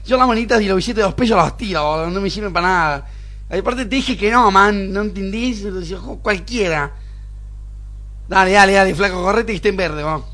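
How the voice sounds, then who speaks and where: A spoiled young porteño boss, played by a man, high and sharp-voiced. He whines in an affected upper-class Buenos Aires drawl, impatient and dismissive, as in a radio comedy-drama. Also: brisk. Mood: scornful. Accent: Argentine, Buenos Aires. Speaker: radio play actor. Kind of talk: amateur radio drama acting.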